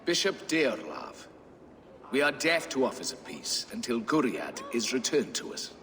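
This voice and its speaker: A dutiful medieval captain, formal and unbending. His mid-pitched voice carries through long hall reverb. Clear background noise sits behind the game dialogue. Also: sing-song, unhurried, fairly bright tone. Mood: stern. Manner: formal, unyielding. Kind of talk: video game character acting.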